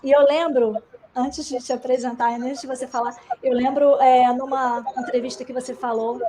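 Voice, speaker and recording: A woman's voice: sits high, level tone, normal speed. A woman with a Brazilian accent hosting a casual live online chat, effusive and informal as she addresses people. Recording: online stream with faint noise.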